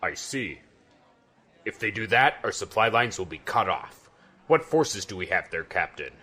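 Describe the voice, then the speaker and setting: A man playing a commanding, weary but fair army general in an audio drama, measured and authoritative. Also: fairly low pitch, sing-song, sharp tone, husky, heavily acted. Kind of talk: scripted audio drama acting.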